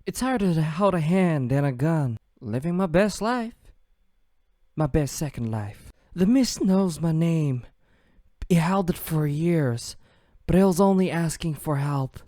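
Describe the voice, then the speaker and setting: A man's voice: a little high, expressive, measured pace, warm tone, no echo, studio quality, voice pushed up. A man voicing a confident adult female fighter, cool, proud and self-assured.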